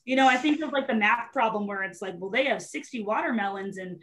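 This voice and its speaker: A woman's voice: mid-range voice, expressive, fast, fairly bright tone. A woman in her twenties talking casually and animatedly on a video call, often checking in with "right?". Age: 20s. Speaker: guest presenter, STEM educator. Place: small room at home, indoors. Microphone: webcam video call.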